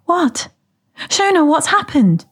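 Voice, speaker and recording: A woman's voice: mid-range voice, fairly quick, breathy edge, natural voice. A woman voicing a cautious, exasperated female neighbour, urgent and placating, in an audiobook story. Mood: concerned, puzzled.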